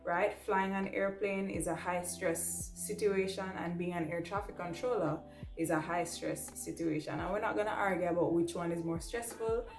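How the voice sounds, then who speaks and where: A young woman talks casually, as if to viewers of her vlog. Her voice sits a little low and she stresses her points conversationally. The room adds noticeable echo, and light music plays underneath. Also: fairly even intonation, moderate pace. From Jamaica. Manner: conversational, emphatic, ends points with "right?". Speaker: vlogger, air traffic control trainee and pilot. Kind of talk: casual presenting to camera.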